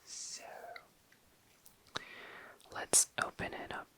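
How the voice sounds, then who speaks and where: An ASMR creator speaking in a breathy, unvoiced whisper, lingering softly over words as in a relaxed show-and-tell, close to the microphone. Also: extremely slow.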